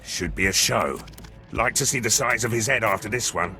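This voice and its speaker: Deep, forceful soldier. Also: male voice, moderate pace, faint music behind. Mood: confident, wry. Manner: casual, clipped, dry. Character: gruff, confident, plain-spoken soldier. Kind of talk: video game voice acting.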